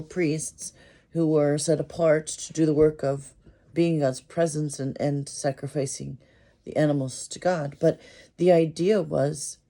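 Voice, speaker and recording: Slow, hoarse-voiced American pastor. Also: mid-range voice, dark tone. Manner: slow, thoughtful, with fillers. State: losing voice from a cold. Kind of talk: casual sermon reflection.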